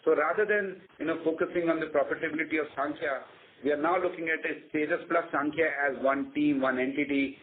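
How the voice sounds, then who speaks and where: Indian executive on a tinny phone line. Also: male voice, on the high side, steady tone, a little fast. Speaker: company executive. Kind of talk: earnings call answers. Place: conference phone call. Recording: narrow phone line with faint noise.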